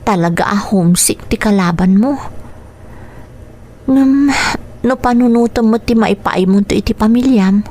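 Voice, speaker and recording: A woman's voice: medium pitch, dark tone. A woman with an Ilocano accent playing a homesick, family-minded young overseas worker in a radio drama. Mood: wistful.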